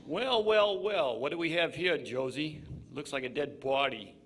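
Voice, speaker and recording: An actor playing a cool, cruel, wisecracking gangster in a 1940s-style radio drama. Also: male voice, a little high, unhurried, a bit bright. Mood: cool, menacing.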